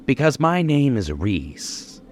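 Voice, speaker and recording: A man's voice: medium pitch, big pitch swings, slow, a little mellow, a little hiss or hum. An actor in an old-time radio crime drama playing a cocky, bitter gunman with menacing, taunting, slangy tough talk. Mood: taunting, smug. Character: cocky bitter gunman confessing his life story.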